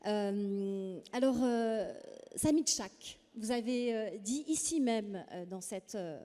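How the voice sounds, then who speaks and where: A woman from Morocco moderating a literary panel, hesitant and halting with many fillers. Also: mid-range voice, warm tone. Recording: reverberant festival hall.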